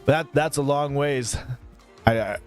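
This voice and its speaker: A man's voice: mid-range voice, measured pace, faint music behind. A man in his mid-thirties chatting casually on a live stream, rambling between topics and thanking viewers by name. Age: 30s.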